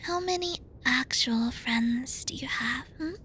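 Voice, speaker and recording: A woman acting a high-pitched, bratty tsundere schoolgirl. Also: sharp tone, slight background noise, exaggerated voice. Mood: bossy, teasing. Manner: halting, pouty, defensive, stumbles over words. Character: bratty tsundere young female student, flustered, teasing and bossy. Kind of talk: ASMR roleplay addressed to the listener.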